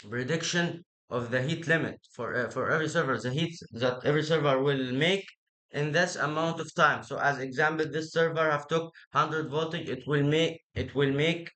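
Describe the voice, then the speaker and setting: A man with a fairly high, bright, forceful voice and an Egyptian accent, his native language being Arabic. He explains things informally, like someone pitching an idea, in halting, repetitive second-language phrasing. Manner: halting, repetitive non-native English with rough grammar.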